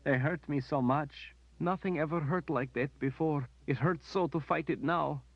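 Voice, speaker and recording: A man's voice: high voice, expressive, slow pace, no room sound, pitched above the performer's own voice, full character voice. A man voicing an anguished young woman warrior, sobbing and pleading in a raised voice. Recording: dull dry recording.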